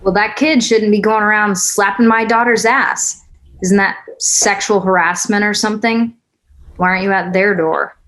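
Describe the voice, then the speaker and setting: A woman's voice: mid-range voice, fairly even intonation, moderate pace, fairly bright tone, natural voice. A woman acting a tough, weary, guarded single mother in a naturalistic screenplay read, blunt and sardonic. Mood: defiant, sardonic. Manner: blunt, sardonic, plain-spoken.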